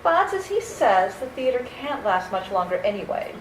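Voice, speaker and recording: A woman's voice: fairly high pitch, very animated, normal speed. A woman playing a sweet, daffy elderly aunt in a radio comedy, genteel, fussy and chirpy. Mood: genteel, chatty. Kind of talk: old-time radio comedy acting. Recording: roomy stage recording with faint noise.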